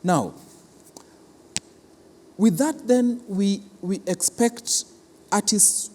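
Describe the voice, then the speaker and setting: A man with a Kenyan accent explains things as an interviewed official, speaking haltingly and repeating his words. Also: sits high, darkish tone. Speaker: cultural institution official being interviewed. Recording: reverberant hall with clear background noise.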